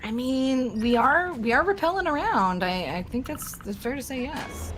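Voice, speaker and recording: A woman's voice: high voice, expressive, moderate pace, a bit bright, a little hiss or hum, rough recording. A woman co-commentating on a live game stream, casual and playful with dry jokes.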